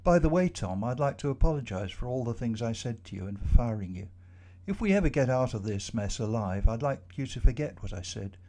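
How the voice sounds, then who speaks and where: A man's voice: mid-range voice, brisk. A man doing a weary middle-aged boss. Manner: sad, weary, calmly reasonable.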